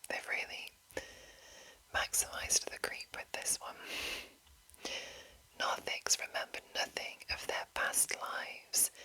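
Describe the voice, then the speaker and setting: A woman hosts ASMR in a steady whisper, reading aloud and adding soft asides. Her delivery is slow and lingering, with a very crisp, bright edge to each hushed phrase. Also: rough recording. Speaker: ASMR host reading a monster manual.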